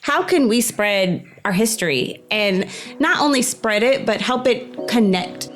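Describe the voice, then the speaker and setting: A woman's voice: on the high side, animated, unhurried, faint music behind. A woman hosting a podcast interview, talking in an informal, personal, conversational way. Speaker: podcast host.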